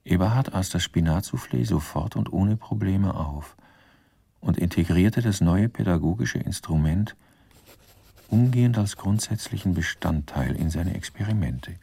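A dry, ironic storyteller for a radio crime drama. His voice is deep, dark and gravelly, with formal, measured delivery. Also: animated, no echo, exaggerated voice. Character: dry, ironic narrator of a murderer's life. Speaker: narrator.

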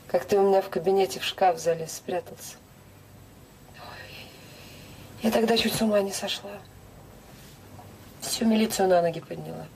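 An actress playing a loving, anxious middle-aged mother in a Soviet audio drama, speaking softly and intimately. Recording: noisy old recording with low rumble.

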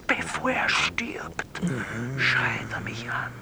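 A man's voice: medium pitch, sing-song, slow pace, gravelly, in a whisper, heavily acted. A man with an Austrian accent playing an eccentric phone-in caller in a radio drama, earnestly telling an absurd tale. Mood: dramatic, hushed.

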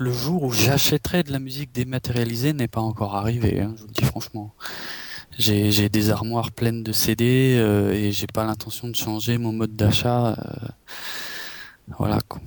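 A man's voice: mid-range voice, flat delivery, normal speed, no room sound. A man gives his view plainly and frankly in a casual panel chat. His voice is recorded close and dry, with no room sound.

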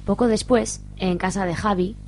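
Female narrator for scripted radio serial; mid pitch, Spain accent, music underneath. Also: steady tone, moderate pace.

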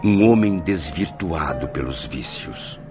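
A man's voice: rumbling low, animated, slow pace, music in the background. A man reading solemn scripted narration for a radio drama. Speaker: first-person narrator.

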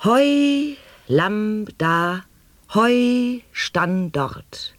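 An actor in a radio play declaiming nonsense sound poetry in slow, halting word-by-word exclamations. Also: male voice, high voice, lively intonation, a bit bright, no room sound, heavily acted.

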